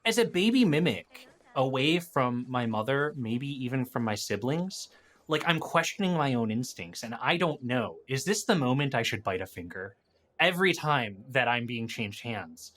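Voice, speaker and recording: A man's voice: medium pitch, big pitch swings, moderate pace, a bit bright. A man chatting casually as a podcast guest, thinking aloud and telling improvised stories about game monsters.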